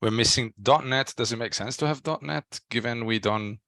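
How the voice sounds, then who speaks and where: A man's voice: mid-range voice, moderate pace, sharp tone, no room sound. A man in his thirties thinking aloud tentatively in a casual technical discussion on a headset. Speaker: software developer on a working group call. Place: small room at home, video call.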